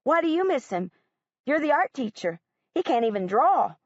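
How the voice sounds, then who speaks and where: A woman's voice: high voice, sing-song, moderate pace, no echo, lo-fi sound, voice pushed up. A woman voicing an earnest, literal-minded young girl who speaks bluntly and matter-of-factly.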